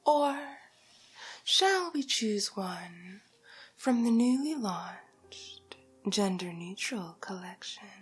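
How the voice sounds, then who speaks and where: A woman playing a haughty, vain, glamorous villainess in an ASMR roleplay, whispering breathily and condescendingly with drawn-out endearments. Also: mid-range voice, expressive, slightly rough, soft background music, exaggerated voice. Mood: haughty, indulgent.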